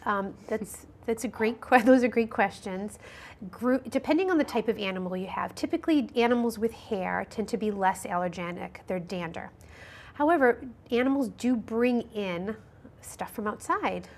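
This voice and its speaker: A woman's voice: medium pitch, normal speed. A woman nurse practitioner answering interview questions about allergies on a health show, explaining with frequent ums and restarts.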